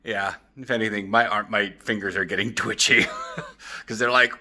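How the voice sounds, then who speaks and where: A man in his thirties chatting casually in a vlog with an animated, jokey manner, recording on a phone at arm's length in an apartment. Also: lowish voice, moderate pace. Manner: animated, jokey, acts out quotes. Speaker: vlogger. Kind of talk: casual rambling vlog chat. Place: open-plan apartment living room and kitchen.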